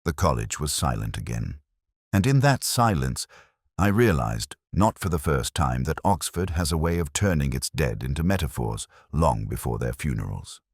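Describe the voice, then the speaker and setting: Very deep, brooding actor as detective. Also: male voice, very animated, breathy edge, no echo, clean studio sound, heavily acted. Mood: brooding, reflective. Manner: literary, reflective, melancholy, measured. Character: brooding, intellectual older male Oxford police inspector. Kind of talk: scripted first-person detective drama narration.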